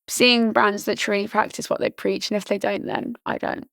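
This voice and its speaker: A woman in her early twenties from Britain, chatting casually as a podcast guest, full of "like" fillers and restarts, her voice slightly hoarse. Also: mid-range voice, steady tone, moderate pace, a bit bright, no room sound. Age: early 20s. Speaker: podcast guest, charity founder. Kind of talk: casual interview chat.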